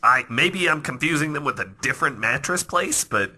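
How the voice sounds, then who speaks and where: A man's voice: fairly high pitch, animated, moderate pace, pushed and bright, breathy edge. A man co-hosting a podcast in casual, bantering conversation. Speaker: podcast co-host.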